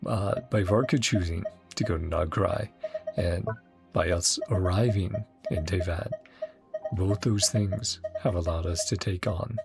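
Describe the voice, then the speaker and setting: A man's voice: low-pitched, measured pace, creaky, music in the background. A man rambling casually as he streams a game and reacts to it. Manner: rambling, chatty.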